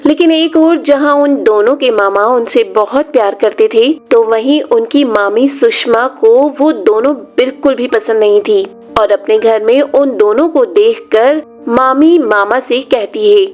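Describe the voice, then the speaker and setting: A woman storyteller reads a scripted children's tale. Her voice is high and she takes her time over each phrase. Music plays behind the narration. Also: a little slow, a little mellow. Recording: music under the voice.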